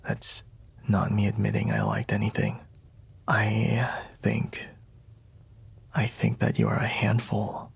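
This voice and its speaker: A man playing a flustered, secretly tender young bodyguard in an intimate ASMR roleplay, speaking haltingly in a husky, breathy near-whisper. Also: fairly low pitch, no room sound, full character voice. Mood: grudging, defensive. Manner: hesitant, halting, grudgingly soft, frequent sighs.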